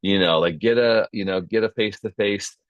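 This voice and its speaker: A man's voice: lowish voice, a little fast, no room sound, highs cut off. A man casually hosting an online video meeting, informal and chatty with a promotional bent. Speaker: host and founder of a film community.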